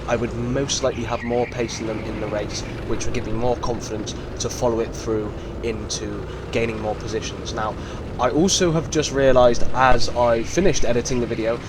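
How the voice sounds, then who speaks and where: Give me a young guy with a croaky, hoarse voice, talking through his race laps in careful detail. His pitch sits mid-range, and loud engine noise from the karts runs under everything he says. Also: fairly even intonation, normal speed. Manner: earnest, detailed play-by-play of his own driving. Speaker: karting vlogger. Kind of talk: voice-over commentary on race footage. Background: kart engines and vehicle noise.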